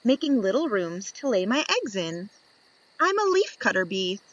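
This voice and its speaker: A woman reading a children's picture book aloud, slow and lively, lifting into high voices for the questions. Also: rough recording. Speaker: storybook reader.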